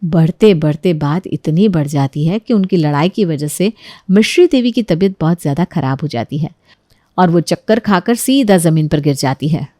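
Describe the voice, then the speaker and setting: A woman's voice: deep voice, animated, moderate pace, mellow, no room sound. Woman narrating stories, dry close sound. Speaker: story narrator. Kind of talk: scripted story narration.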